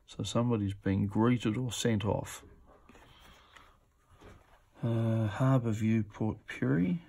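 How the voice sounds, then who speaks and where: A man's voice: fairly low pitch, takes it slowly, gravelly, mellow. A man giving casual, off-the-cuff commentary as he presents auction items, musing to himself as he goes. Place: indoors at a table.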